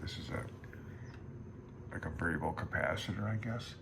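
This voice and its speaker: A man's voice: low-pitched, moderate pace, vocal fry, background noise. A middle-aged man thinking aloud in an informal, hesitant, meandering and self-deprecating way as he walks through a handbook at home. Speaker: amateur radio vlogger. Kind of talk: informal rambling walkthrough of a handbook. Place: small room at home.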